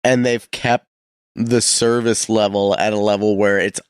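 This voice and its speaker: A man's voice: lowish voice, level tone, unhurried, smooth, no room sound, clean studio sound. A man co-hosting a local radio show, chatting casually and explaining things in informal but technical terms. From Canada.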